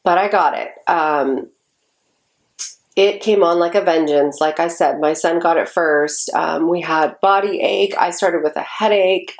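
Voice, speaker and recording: A woman's voice: fairly low pitch, unhurried. A woman in her forties, stuffy and congested, chatting casually to the camera in a rambling way with lots of ums. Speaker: vlogger.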